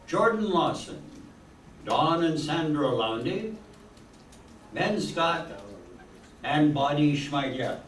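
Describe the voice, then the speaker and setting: A man playing a genial 1940s radio show host, formally announcing and narrating a live stage performance. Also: on the high side. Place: stage of an auditorium with live audience. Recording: echoey hall from far off, faint noise.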